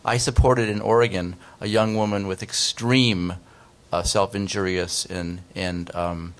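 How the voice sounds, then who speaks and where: A man's voice: deep voice, steady tone, unhurried. A man in his fifties, a researcher, explaining earnestly on a discussion panel at a desk microphone in a conference room. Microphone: desk microphone on stand.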